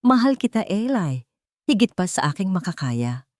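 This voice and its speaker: A woman voicing a loving, devoted bride who speaks in earnest, measured phrases in a radio drama story. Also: medium pitch, sing-song, a little mellow, no echo, clean studio sound, close to an everyday voice. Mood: earnest, emotional.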